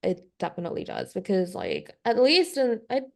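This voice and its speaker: A woman's voice: mid-range voice, huge pitch swings, normal speed, gravelly. A young woman from Korea who speaks English with a British accent tinged with Californian, thinking aloud as a podcast guest. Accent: British English with a slight Californian tinge. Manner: thoughtful, hedging with frequent "like" and restarts. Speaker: guest concept artist. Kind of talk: casual podcast conversation.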